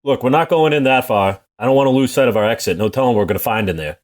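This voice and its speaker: A man acts out a no-nonsense army captain for a comedy radio play. His mid-range voice is gruff, plain and used to command. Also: mid-range voice, rapid-fire, close to an everyday voice. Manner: matter-of-fact, commanding. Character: gruff, practical male army captain named Fenway.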